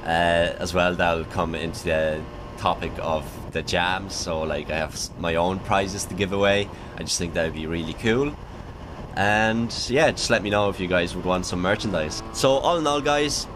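A man's voice: sits low, moderate pace. A young man chatting casually to his viewers as a BMX vlogger, upbeat and rambling with fillers, while riding a motorbike. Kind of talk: casual vlog chat to viewers. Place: riding a motorbike on open roads. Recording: road and engine noise behind voice.

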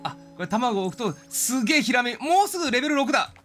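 Lively, bright-voiced man chatting over a game. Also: very high voice, quick pace, soft background music. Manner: reads game dialogue aloud in character. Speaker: streamer. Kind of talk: live game commentary, casual chat.

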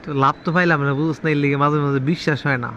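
A man's voice: a little high, fairly even intonation, very fast, a bit bright. A man with a Bangladeshi accent chatting casually on a call in a colloquial, explanatory way. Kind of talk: casual phone conversation. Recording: dull lo-fi audio, faint noise.

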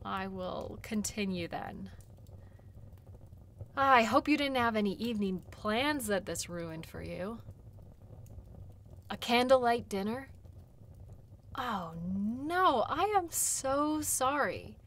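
A woman playing a caring, chatty dog-sled guide in an audio role play, gentle and reassuring, with conversational pauses. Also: a little high, animated, exaggerated voice. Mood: gentle, careful, then sympathetic.

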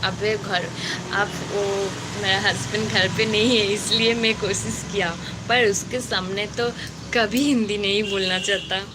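A young woman with a Bengali accent, whose first language is Bengali, hosting a casual live stream and chatting with viewers in halting, broken Hindi as she searches for words. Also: medium pitch, fairly even intonation, noisy background.

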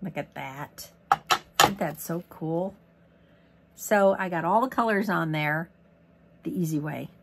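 Woman, hoarse, gravelly, lowish voice, chatty craft demo, faint hiss. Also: slow pace, pauses often. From Missouri, USA. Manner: chatty, rambling, lots of ums and asides. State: losing her voice, hoarse. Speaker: craft demonstrator. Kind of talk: casual crafting tutorial. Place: craft desk indoors.

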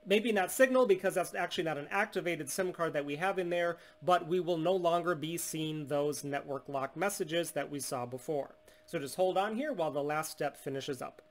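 A man in his thirties from the United States, a steady, salesy business owner explaining a tablet unlock to camera in a small room. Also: fairly high pitch. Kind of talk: scripted product demonstration. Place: small indoor room at home.

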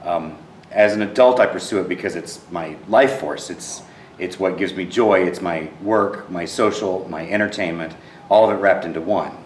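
Deep-voiced man in an echoey theater. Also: normal speed, a little hiss or hum. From Texas. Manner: earnest, repeats words as he searches for them. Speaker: theater director. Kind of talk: interview answers. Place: empty theater auditorium. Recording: roomy, distant sound in a theater.

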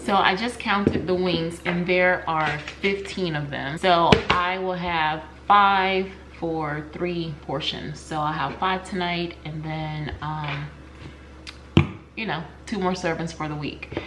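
A woman's voice: on the low side, steady tone, slow. A woman in her forties talking viewers through her meal prep in a home kitchen, casual and step-by-step, with a laugh now and then. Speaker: cooking vlogger. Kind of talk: casual cook-along narration. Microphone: camera mic, close to mid distance. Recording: noisy home kitchen recording.

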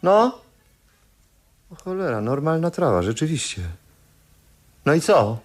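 A man acting in a radio play as a wry young bohemian painter, anxious and plaintive, with colloquial, unhurried speech. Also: on the high side, very animated, soft background music.

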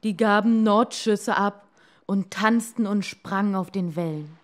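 A woman storyteller narrating a bedtime fairy tale expressively, with deliberate pauses and hushed moments. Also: medium pitch.

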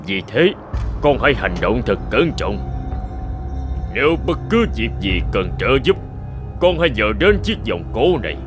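Old male god, played by a man, cartoon fairy tale: grave, commanding, mid-pitched, varied intonation. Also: sing-song, a little slow, pauses often, music in the background. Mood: solemn warning. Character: solemn, authoritative old bearded male sky god.

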